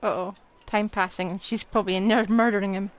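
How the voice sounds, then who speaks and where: A woman's voice: medium pitch, fairly even intonation, fast talker. A woman streaming a game, giving casual, offhand reaction commentary with wry asides. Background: game music.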